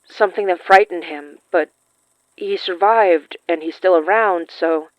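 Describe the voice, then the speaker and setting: A polite, quietly determined young sorceress in a horror audio drama, played by a woman. She speaks slowly and softly with dry wit. The sound is dry and a little dull. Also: fairly low pitch, no echo, lightly acted. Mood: polite, searching.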